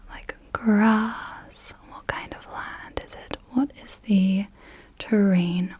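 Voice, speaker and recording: A young woman whispering softly and unvoiced as an ASMR host, gently asking slow questions in a guided visualisation, close to the mic. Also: medium pitch, husky. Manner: soft unvoiced whisper throughout. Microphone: close sensitive mic.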